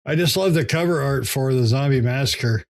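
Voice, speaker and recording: A man's voice: mid-range voice, moderate pace. A man co-hosting a podcast in casual, joking chat, swearing freely. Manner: profane, jokey. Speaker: podcast co-host.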